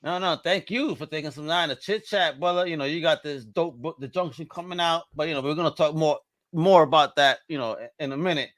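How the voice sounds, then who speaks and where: A man's voice: on the high side, fairly quick, a bit bright. A man hosting a podcast interview in a hyped, jokey, slangy way, calling his guest brother. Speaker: podcast host.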